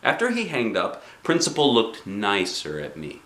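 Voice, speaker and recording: A man reading a children's book aloud as an animated storyteller, with expressive, dramatic phrasing. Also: lowish voice, normal speed, echoey room.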